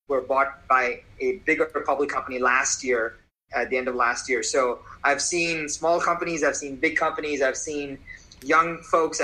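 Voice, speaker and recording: A man's voice: on the high side, bright, forceful tone, poor audio. A man, a company CEO appearing as a guest, answering interview questions in an emphatic, persuasive way and repeating phrases for effect.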